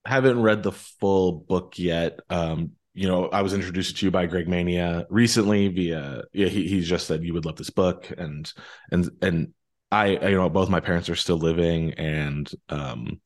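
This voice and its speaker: A man's voice: low voice, fairly even intonation, moderate pace. A man hosting a podcast, moving between scripted introductions and casual, self-correcting interview chat. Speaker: podcast host.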